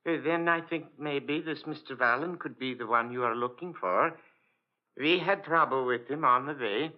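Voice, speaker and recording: Obliging Scandinavian ship's purser played by a man, halting broken English, mid-pitched, dull vintage radio sound. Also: lightly acted. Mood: polite, hesitant. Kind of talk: 1940s radio drama acting. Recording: dull old radio recording.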